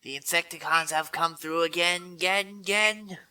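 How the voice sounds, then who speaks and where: A man's voice: fairly high pitch, moderate pace, sharp tone, smooth. A man voicing a chittering insect robot servant that repeats its words in an echo, in a comic dub. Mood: eerie, servile.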